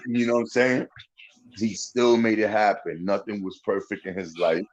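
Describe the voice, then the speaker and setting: A man's voice: lowish voice, level tone, measured pace, poor audio. Blunt, profane man in his forties. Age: 40s. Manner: blunt, profane, fills with "you know what I'm saying". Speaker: podcast host. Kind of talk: casual chat.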